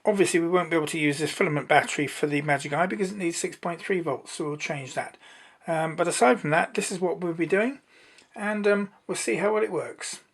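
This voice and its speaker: The voice sits at a middle pitch and belongs to someone presenting a hands-on electronics demonstration. The delivery is informal and unhurried. Each step is explained plainly as it is shown. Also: husky.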